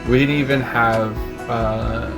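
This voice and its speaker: A man who grew up in Russia, co-hosting a casual video chat in a relaxed, reflective way. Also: medium pitch, takes it slowly, music in the background. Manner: relaxed, reflective, talks about faith. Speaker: co-host.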